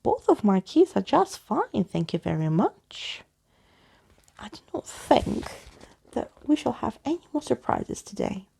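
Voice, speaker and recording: A woman's voice: on the high side, very animated, unhurried, gravelly, mellow, slightly rough, full character voice. A woman voicing a pompous, cheerful male living skeleton in a children's storybook, theatrical and boastful. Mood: proud, oblivious. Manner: theatrical, boastful, uses whimsical invented words.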